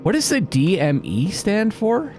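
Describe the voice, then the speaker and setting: A man's voice: high voice, huge pitch swings, unhurried. A man doing gameplay commentary, thinking aloud and exclaiming as he reads on-screen text. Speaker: let's play host. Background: atmospheric game music.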